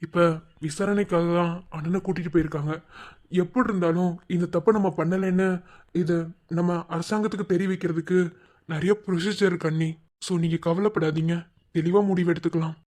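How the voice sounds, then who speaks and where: A man playing a practical, steadying young family member, speaking haltingly and reassuringly in a family drama. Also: high-pitched, fairly even intonation, a little mellow, close to an everyday voice.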